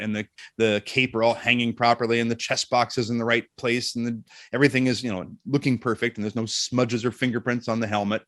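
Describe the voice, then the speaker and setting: A middle-aged man chatting casually as an interview guest, telling jokey anecdotes in an animated way. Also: mid-range voice, dry recording, studio quality.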